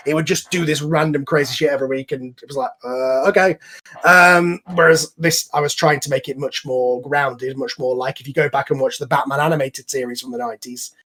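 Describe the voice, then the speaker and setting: A man's voice: mid-range voice, steady tone, brisk, fairly bright tone. A man hosting a casual online group chat, explaining things at length in an enthusiastic, informal, rambling way. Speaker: host and series creator. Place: online voice call.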